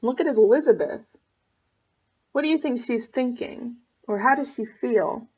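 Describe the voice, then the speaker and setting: A woman's voice: a little high, a little slow, darkish tone, slightly rough, dull audio. A woman reading a picture book aloud to children expressively, with asides and questions for the listeners. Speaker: storybook reader.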